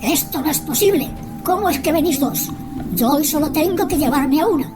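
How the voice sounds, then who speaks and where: Radio-play ghostly female reaper played by a woman, commanding and menacing, high voice with big pitch swings. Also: lively intonation, normal speed. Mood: baffled, eerie. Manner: threatening, commanding. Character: menacing spectral woman, thin reaper of the dead, imperious. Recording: music behind the voice.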